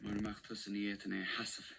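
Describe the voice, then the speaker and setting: A man's voice: lowish voice, monotone, racing, gravelly, noisy background. An actor playing a married male schoolteacher in a secret love affair, speaking in an earnest, confiding way in an audio drama. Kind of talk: radio drama acting.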